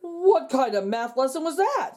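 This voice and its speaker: A woman's voice: sits high, sing-song, moderate pace, dark tone. A woman voicing a polite, formal male school principal in a storybook.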